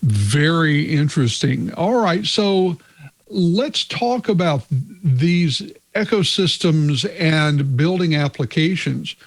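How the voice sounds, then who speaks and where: A man hosting a tech podcast, introducing his guest and asking questions in a measured, deliberate way. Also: a little high, animated. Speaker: podcast host.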